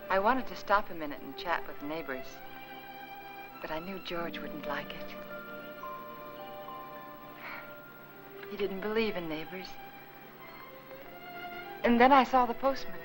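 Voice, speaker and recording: An actress playing an anxious, dutiful young American housewife, polite and placating, in the style of 1950s Hollywood film drama. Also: female voice, lowish voice, animated, moderate pace, long pauses, over music, close to an everyday voice, rough recording. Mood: weary narration. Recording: vintage film soundtrack with orchestral score.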